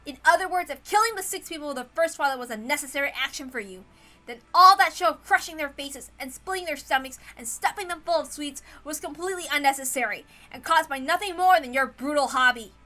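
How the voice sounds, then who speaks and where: Woman doing a stubborn young guy telling off a witch, righteous, emphatic, high bright voice. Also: fast. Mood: stern reproach. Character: indignant, stubborn young man confronting a cruel witch. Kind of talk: dramatic visual novel read-aloud.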